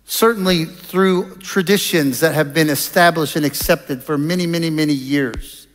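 Middle-aged male chapel preacher, slightly high voice, deliberate and explanatory, reverberant auditorium. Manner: measured, deliberate delivery with pauses. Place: large auditorium stage. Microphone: headset microphone. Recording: reverberant hall sound.

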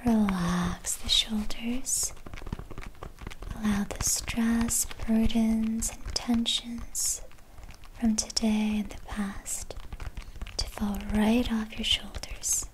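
Woman, whispering meditation guide, very slow and unhurried, faint hiss behind. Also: fairly high pitch, level tone, rough recording. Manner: whispered throughout, slow and soothing.

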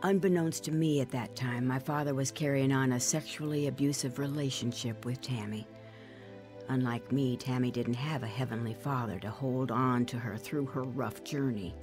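A woman's voice: extremely low pitch, fairly even intonation, normal speed, over music. An actress playing a woman soberly recounting her painful youth, narrating a dramatized testimony. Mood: reflective. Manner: reflective, sober.

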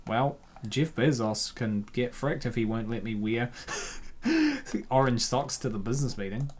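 A man's voice: mid-range voice, big pitch swings, a little slow. A man hosting a casual comic reading with playful commentary and theatrical exclamations. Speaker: host.

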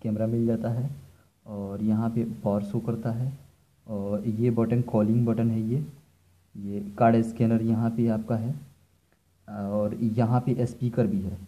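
A man's voice: on the low side, level tone, takes it slowly, dull audio. A man giving an informal hands-on tutorial as an electrician, explaining step by step and often repeating himself. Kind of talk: informal hands-on product demonstration. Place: indoors, filming equipment on the floor.

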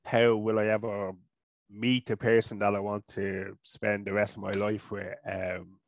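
Irish man, thirties, stutters through restarts; low, gravelly, dry headset sound, casual interview guest. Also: level tone, unhurried, dry recording. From Ireland. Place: small room at home. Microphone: headset mic.

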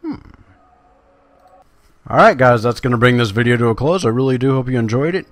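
A man's voice: medium pitch, lively intonation, brisk, no room sound. A man giving casual, playful game commentary, chatting to himself and to viewers as he plays. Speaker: gaming video maker.

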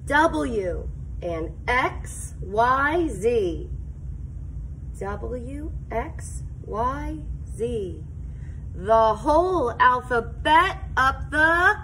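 A middle-aged woman teacher reading a picture book aloud to young children, expressive and sing-song, inviting them to join in. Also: fairly high pitch, very slow, slight background noise, rough recording. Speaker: elementary teacher. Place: school classroom, indoors.

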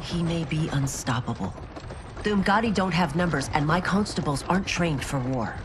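An actress playing a loyal, determined guard captain who speaks earnestly. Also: female voice, low-pitched, expressive, normal speed, noisy background. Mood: resolute. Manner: earnest, resolute.

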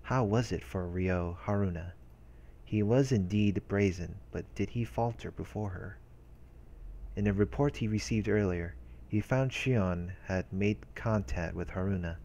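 A man reading visual novel narration aloud as a let's play host, in a measured manner with brief casual commentary. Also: on the low side, a little mellow. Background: game music.

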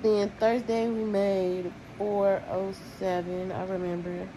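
A woman's voice: medium pitch, flat delivery, takes it slowly, slight background noise, poor audio. A young woman, chatting casually and bluntly to her vlog audience while tallying money aloud. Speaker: vlogger. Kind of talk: casual vlog talk to camera.

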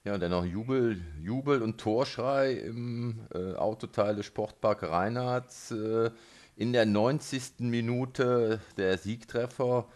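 Halting male sports radio host. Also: mid-range voice, a little mellow. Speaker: radio host interviewing a football coach. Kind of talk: local sports radio interview.

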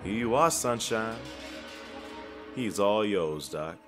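Man as a sarcastic, animated asylum guard. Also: lowish voice, slow pace, over music. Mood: scornful. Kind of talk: comic dub acting.

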